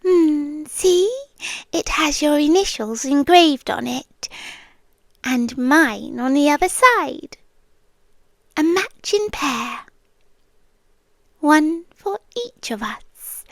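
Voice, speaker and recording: Woman playing a very slow, high-voiced possessive British sea siren. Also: animated, dry recording, exaggerated voice. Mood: sweetly coaxing. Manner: soft, breathy half-whisper, drawn-out pauses. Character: possessive yandere female sea creature, sweetly menacing captor. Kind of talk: ASMR roleplay, slow and intimate. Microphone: close ASMR microphone.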